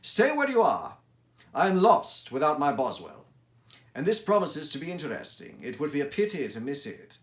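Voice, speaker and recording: A brisk, commanding detective, put on by a male narrator who speaks in clipped, emphatic phrases, lifted well above the reader's low natural register. His dry confidence is heard in an echoey room. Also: wildly swooping pitch. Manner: crisp, emphatic, dryly confident.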